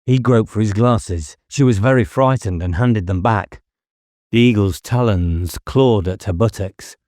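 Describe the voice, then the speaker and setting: A man's voice: on the low side, a little slow, darkish tone, no room sound, clean studio sound. A man reading a novel aloud as an audiobook narrator, in a scripted dramatic style.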